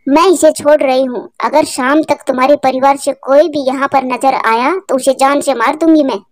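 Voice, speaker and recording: A woman's voice: high voice, fairly even intonation, normal speed, no echo, clean studio sound, lightly acted. A woman voicing a stern, unforgiving female eagle who commands and threatens in a cartoon. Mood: threatening.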